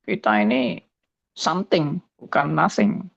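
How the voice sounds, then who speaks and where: Old pastor guy in his 70s, gravelly voice, muses along and keeps saying ya, muffled lo-fi sound. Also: on the high side, big pitch swings, measured pace. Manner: musing, tags phrases with "ya". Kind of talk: reflective spoken testimony.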